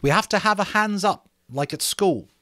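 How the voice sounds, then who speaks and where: Man voicing earnest boy leader, raised pitch, emphatic speech-making, lively intonation, storybook reading. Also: moderate pace, dry recording, clean studio sound. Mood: earnest, assertive. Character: earnest fair-haired boy chairing a meeting as leader. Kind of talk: amateur dramatic reading of a novel.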